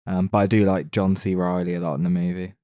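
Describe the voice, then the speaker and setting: A man's voice: low voice, little pitch movement, fast, warm tone, dry recording, clean studio sound. A man co-hosting a film podcast, talking casually as he reviews movies.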